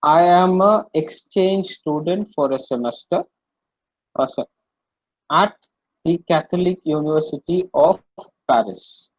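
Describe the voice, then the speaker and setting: A man's voice: a little high, measured pace, no echo, poor audio. A man with an Indian accent teaching a French lesson, translating line by line, repeating phrases and checking understanding with short questions.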